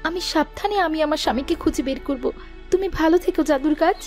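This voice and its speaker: A very high, quick voice plays a devoted young cartoon wife, acted by a woman. She is tender and emotional, with music clearly behind her. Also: darkish tone, close to an everyday voice. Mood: wondering. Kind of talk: children's fairy tale cartoon voice acting.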